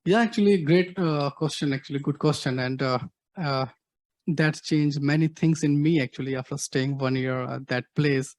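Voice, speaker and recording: Indian-accented middle-aged male guest, slightly high voice, hesitant with fillers, dry close mic. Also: darkish tone, no room sound. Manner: halting, many fillers, frequent "actually". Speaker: guest speaker, activist. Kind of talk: answering audience questions.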